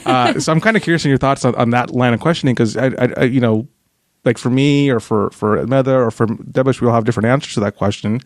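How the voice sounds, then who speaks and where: Fast-talking man hosting a chat. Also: mid-range voice, dry recording. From Los Angeles, USA. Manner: quick, animated, laughs often. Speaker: host. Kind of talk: casual panel interview.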